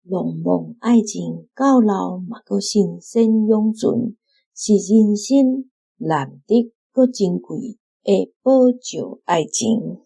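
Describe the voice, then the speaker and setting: A woman with a Taiwanese accent reads a prepared reflection at an unhurried pace. Her voice is husky and gravelly, giving the scripted book commentary a rough grain. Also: medium pitch, mellow. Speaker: guest reader from a book club.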